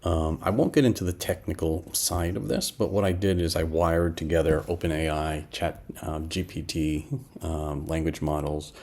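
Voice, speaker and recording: Man, 50s, very deep and slightly rough-voiced, informal and explanatory tech demo presenter. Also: fairly even intonation, normal speed, darkish tone. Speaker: app developer presenting a demo. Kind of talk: casual screen demo narration.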